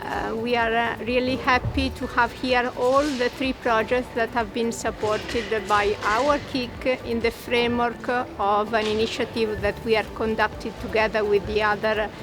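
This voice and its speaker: A woman speaks in a fairly high voice and stumbles over fillers at the start of her phrases. She talks as the event organizer, and music plays clearly behind her. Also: little pitch movement, moderate pace, few pauses. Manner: hesitant, many fillers. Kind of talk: event interview. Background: background music.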